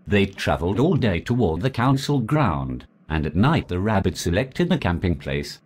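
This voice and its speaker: Low, husky-voiced man, expressive storyteller reading a legend. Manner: measured, deliberate storytelling. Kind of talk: reading a folk legend aloud.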